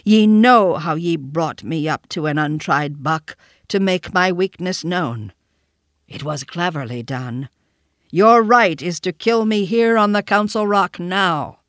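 A storybook reader voicing an old, weary male wolf leader who speaks slowly and gravely. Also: mid-range voice, fairly bright tone, no room sound, clean studio sound. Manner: slow, grave, formal.

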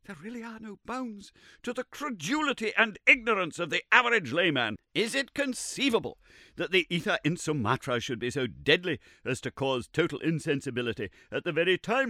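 A man voicing an irritable, sarcastic elderly male professor who scolds in a shrill, indignant voice. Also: sing-song, brisk, pushed and bright, no echo, studio quality. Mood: furious.

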